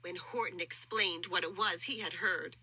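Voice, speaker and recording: A woman narrating a rhyming children's picture book as an expressive storyteller. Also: on the low side, moderate pace, heavy background noise.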